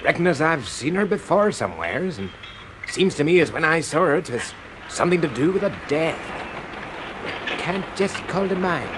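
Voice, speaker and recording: An actor playing a rustic railway porter with a West Country accent in a radio drama. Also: male voice, on the high side, sing-song, moderate pace. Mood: pondering. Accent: English West Country. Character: working-class railway porter, rustic, puzzled, hollering announcements. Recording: noisy radio recording, railway station background.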